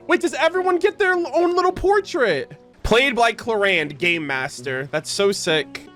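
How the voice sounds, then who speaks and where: A female streamer reacting to a game in slangy, gushing bursts. Her voice sits fairly high and jumps around a lot, full of exclamations, with faint music behind it. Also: expressive, a little slow, fairly bright tone. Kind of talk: excited game reaction commentary.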